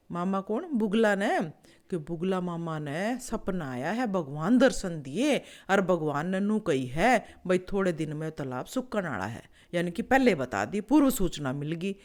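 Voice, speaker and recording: A woman's voice: lowish voice, lively intonation, measured pace, a little mellow. A woman voicing a plucky young male crab in a folk tale, direct and folksy, with a Haryanvi accent. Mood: urgent.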